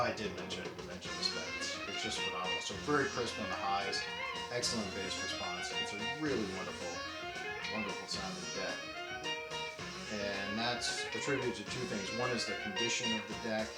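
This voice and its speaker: A man casually demonstrating a tape deck, talking in a relaxed way with many ums and restarts. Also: on the low side. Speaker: audio equipment reviewer. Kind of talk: casual product demo. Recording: roomy echo with faint music.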